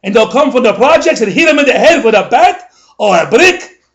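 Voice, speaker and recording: A man doing a shrill, cranky old New Yorker. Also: lively intonation, a little fast, fairly bright tone. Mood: ranting. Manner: rambling, indignant rant with frequent asides. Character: grumbling older male New Yorker, ranting about the mayor. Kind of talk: comic character monologue.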